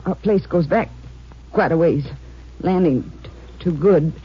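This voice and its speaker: Scared farm wife, hesitant n shaky, dodging, muffled old radio drama. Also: female voice, on the low side, breathy edge. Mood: nervous, upset. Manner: halting, trembling, hesitant. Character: frightened, evasive farm wife hiding a secret. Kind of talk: 1950s radio drama acting. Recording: muffled, hissy 1950s radio recording.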